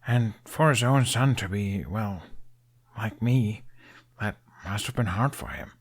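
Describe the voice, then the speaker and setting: A man acts out a dejected teenage Viking boy for a storybook reading. He is earnest and self-deprecating, stumbling through his thoughts in halting, muttered phrases. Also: on the low side. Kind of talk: audiobook character dialogue.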